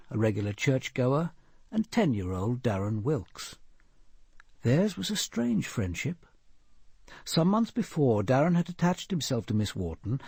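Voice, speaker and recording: An actor playing a composed, observant senior detective, narrating a murder case in a measured, deliberate radio drama style. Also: male voice, mid-range voice, dark tone, slightly rough, no room sound. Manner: deliberate, precise, grave.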